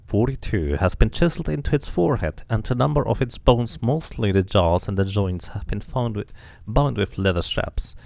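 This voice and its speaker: A man's voice: fairly low pitch, vocal fry, dry recording. A man doing a casual game playthrough, musing to himself and to viewers and reading narration aloud.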